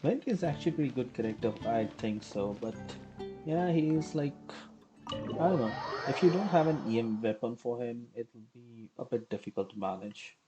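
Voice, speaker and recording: A man's voice: mid-range voice, lively intonation, brisk, lo-fi sound. A man streaming a game live with casual commentary, musing aloud in a hesitant way. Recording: game music behind the voice.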